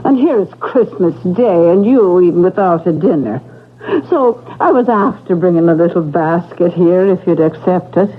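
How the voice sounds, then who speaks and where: An actress playing a pious, kindly older Irish housekeeper with an Irish accent in an old-time radio drama. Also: female voice, lowish voice, expressive, moderate pace, lightly acted. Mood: humble, kindly. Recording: tinny old radio recording, faint noise.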